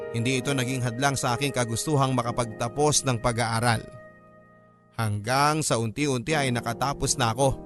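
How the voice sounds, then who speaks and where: A man's voice: mid-range voice, lightly acted. A man with a Filipino accent narrating a radio drama as a remorseful grown child telling a family story. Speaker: story narrator reading a letter.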